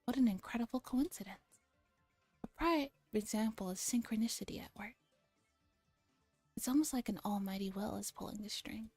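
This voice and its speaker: A woman's voice: mid-range voice, moderate pace, long pauses, a little mellow, husky, no echo, faint music behind. A woman voicing a grandiose, paranoid young man who calls himself a mad scientist, with pompous theatrical delivery, for a visual novel. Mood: awed, grandiose.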